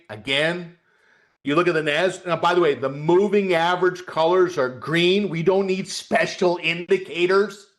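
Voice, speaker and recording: A man hosting a live trading webcast as a coach, talking through market charts in a conversational, folksy way, addressing viewers directly and often checking in with a short tag question. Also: high voice. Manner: conversational, repeats "okay?" as a check.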